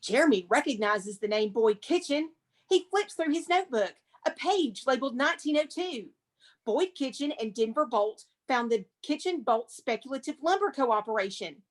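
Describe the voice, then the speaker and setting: A woman's voice: high voice, animated, normal speed. A woman presenting as a museum curator and reading a script, with theatrical, emphatic, dramatic narration.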